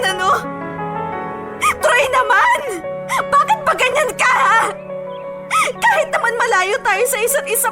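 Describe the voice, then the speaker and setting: A devoted young girlfriend with a very high, emotional voice. She speaks in a heartfelt radio drama style, and music plays clearly behind her. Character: affectionate young girlfriend, heartbroken and furious when betrayed. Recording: background music under dialogue.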